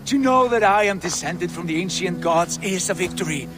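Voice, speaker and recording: High-pitched, grandiose Viking lord. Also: male voice, expressive, moderate pace, over music. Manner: solemn, lordly. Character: proud, grandiose Viking lord who believes himself divine. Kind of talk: dramatic video game acting.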